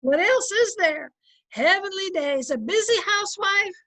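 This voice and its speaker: A woman plays a folksy radio-comedy housewife with an extremely high voice. She needles gently and drily, as a patient wife does. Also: expressive, a little slow, full character voice. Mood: teasing. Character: dry-witted, teasing, patient housewife and wife. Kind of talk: old-time radio comedy acting. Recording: home video-call recording.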